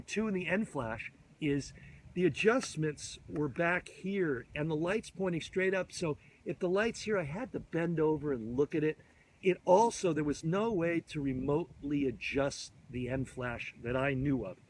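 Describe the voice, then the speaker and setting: Hoarse man chatting outdoors. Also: fairly high pitch, a little hiss or hum, low-quality recording. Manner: chatty, enthusiastic, rambling with restarts. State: hoarse from a cold. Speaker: photography instructor. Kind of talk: casual gear tutorial to camera. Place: outdoors on a sports field. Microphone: phone or camera at arm's length.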